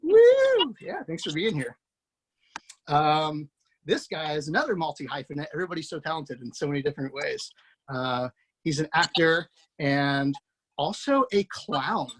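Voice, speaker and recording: A man's voice: fairly high pitch, sing-song, moderate pace, low-quality recording. A man hosting over a video call, casually introducing a cast with effusive praise, loose and full of fillers. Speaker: host introducing a table-read cast.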